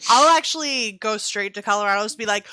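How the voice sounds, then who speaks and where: A woman's voice: mid-range voice, a little fast, bright, forceful tone, a little hiss or hum. A woman player in a tabletop game, chatting casually about what her character does.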